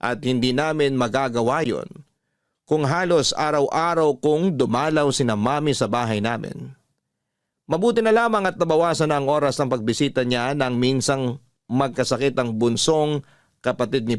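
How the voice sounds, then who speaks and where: A Filipino man narrates a love story in radio style, reading from a script. His voice sits at a medium pitch in a very dry studio recording. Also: clean tone, no room sound.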